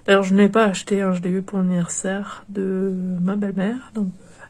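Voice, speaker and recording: A woman's voice: mid-range voice, level tone, normal speed, a little mellow. A young woman with a cold, chatting hesitantly through a doll unboxing with many fillers and restarts. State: has a cold, nasal and stuffy. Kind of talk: casual unboxing commentary.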